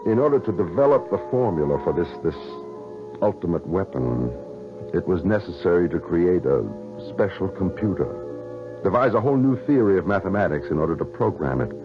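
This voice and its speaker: A troubled male scientist in a vintage radio play, guarded and intense. His low voice moves in wide swings, and the dull old recording has music underneath. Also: animated, normal speed. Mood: halting, grave. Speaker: radio drama actor. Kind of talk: 1970s radio drama acting.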